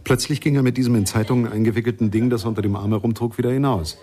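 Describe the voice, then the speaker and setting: A man's voice: mid-range voice, fairly even intonation, very fast, dark tone, close to an everyday voice. An actor playing a thoughtful jazz witness in a radio play, reflective and often correcting himself as he searches for words.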